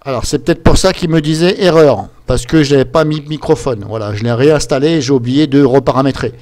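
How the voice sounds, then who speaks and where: A man's voice: mid-range voice, brisk, a little mellow. A man gives a casual, improvised screen-share tutorial, hesitant and rambling as he goes step by step into his computer microphone. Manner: rambling, hesitant, step-by-step, fond of filler words. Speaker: software tutorial presenter.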